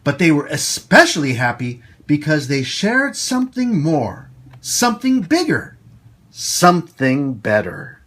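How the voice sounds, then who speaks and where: A man hosting storytime for children, reading and talking to them in an expressive, emphatic way. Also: on the high side. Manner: animated, slow, emphatic delivery for young children. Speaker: storytime host and children's teacher.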